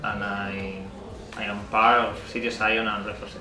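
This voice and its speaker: A man gives a short, halting introduction in a lowish voice. His voice echoes around the room. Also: flat delivery. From Spain. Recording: roomy.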